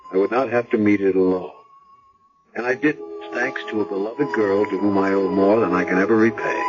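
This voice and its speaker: An actor playing a solemn, kindly chaplain in a radio drama, speaking in a gentle, measured way. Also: male voice, lowish voice, close to an everyday voice. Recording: dull old radio broadcast with music.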